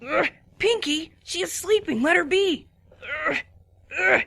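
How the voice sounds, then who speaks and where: A woman's voice: very high-pitched, lively intonation, unhurried, gravelly, bright, forceful tone, no room sound, exaggerated voice. A woman voicing a young male baby dragon who grumbles and sighs in exasperation. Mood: exasperated. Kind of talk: fan dramatic reading.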